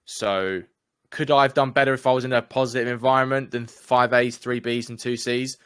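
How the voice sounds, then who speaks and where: A man's voice: medium pitch, steady tone, fairly quick, fairly bright tone, smooth, no room sound, clean studio sound. A young English man with an English accent, speaking candidly as an interview guest in a casual, chatty way. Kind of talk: casual conversation.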